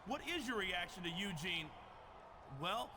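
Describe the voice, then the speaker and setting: Probing sports interviewer, high voice, emphatic, dramatic, wrestling game, noisy ambience. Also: male voice, unhurried. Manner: emphatic, needling broadcast delivery.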